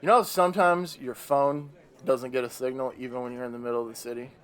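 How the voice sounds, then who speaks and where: A man performs the Devil as a weary old fellow facing retirement in a radio play. He sits at a middling pitch and grumbles theatrically, with bitter, sardonic wit. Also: steady tone, fast talker, a bit bright, lightly acted. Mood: grumbling.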